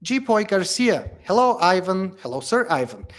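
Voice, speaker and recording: A man's voice: high voice, sing-song, moderate pace. Man answering viewer questions live. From Bulgaria. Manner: casual, reads questions aloud then answers with many fillers. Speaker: company founder hosting a livestream Q&A.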